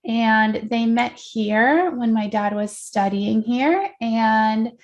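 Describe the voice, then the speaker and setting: A woman's voice: on the high side, slow, lo-fi sound. A woman from the Netherlands, a life coach guest, talking casually and reflectively in an interview.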